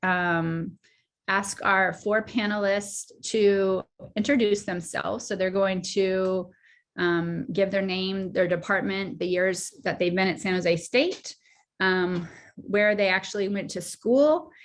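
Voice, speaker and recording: Woman moderator on a video call, friendly, halting with fillers. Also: mid-range voice, fairly even intonation. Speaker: panel moderator. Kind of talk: moderating an online panel.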